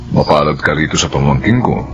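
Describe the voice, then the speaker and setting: An actor playing a kindly, reassuring middle-aged police officer uncle in a Filipino radio drama. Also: male voice, a bass voice, animated, a little fast, fairly bright tone. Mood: calm, reassuring. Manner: fatherly, reassuring. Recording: dull sound, music behind.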